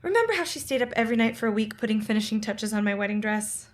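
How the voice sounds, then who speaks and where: A woman's voice: a little high, lively intonation, quick pace, close to an everyday voice. An actress playing a chatty, sentimental married sister and young mother in a radio play. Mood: sentimental, defensive.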